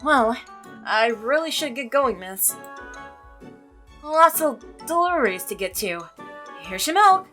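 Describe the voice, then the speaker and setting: A woman voicing an earnest, practical milkman from a visual novel, devoted and sincere in a measured way. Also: high voice, very animated, sharp tone, soft background music, exaggerated voice. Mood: matter-of-fact. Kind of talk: visual novel character reading.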